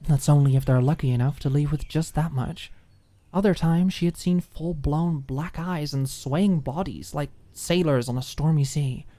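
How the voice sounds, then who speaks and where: A woman's voice: low-pitched, moderate pace, mellow. A woman reading scripted story narration as an audiobook narrator.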